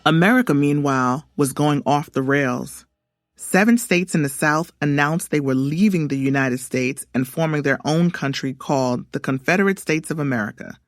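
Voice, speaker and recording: A woman's voice: deep voice, moderate pace, no room sound, studio quality. A woman reading a scripted story for children as its narrator. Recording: music under the voice. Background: background music.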